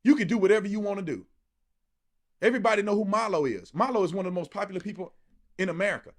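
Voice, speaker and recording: A man's voice: high voice, racing. A man delivering emphatic political commentary in a colloquial way, putting rhetorical questions to the listener.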